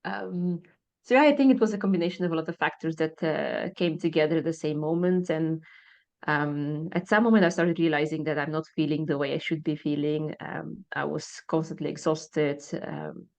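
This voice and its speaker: A woman in her thirties from Bulgaria with a Bulgarian accent, answering interview questions hesitantly with many fillers and soft laughs. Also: low-pitched, fairly even intonation, moderate pace, gravelly. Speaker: guest.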